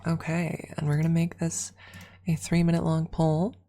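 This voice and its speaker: A woman with a soft, breathy voice, often near a whisper, chatting casually and playfully as an ASMR streamer close to the microphone. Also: low-pitched, completely flat delivery, moderate pace. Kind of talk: casual ASMR livestream chat with viewers.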